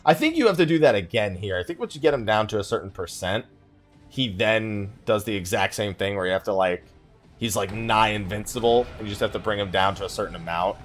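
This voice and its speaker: A man's voice: on the low side, lively intonation, a little fast, soft background music. A man giving casual let's play commentary, rambling and thinking aloud as he plays. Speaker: gaming YouTuber hosting a let's play.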